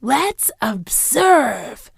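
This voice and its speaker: A bold, cheery little superhero kid from a children's cartoon. The voice is very high and swoops widely, drawing out each exclamation. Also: very animated, very slow, gravelly, breathy edge, dry recording, full character voice. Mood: eager, triumphant. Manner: exclamatory catchphrase delivery. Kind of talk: children's cartoon and game voice lines.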